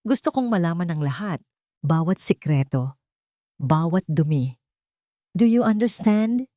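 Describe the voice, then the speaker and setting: A woman voicing a young, wealthy, scheming fiancée whose sweet voice hides sharp, haughty questions. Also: lowish voice, expressive, unhurried, no echo, clean studio sound. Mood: cold, commanding. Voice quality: sweet-sounding but cutting. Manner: mixes in English, refined and haughty.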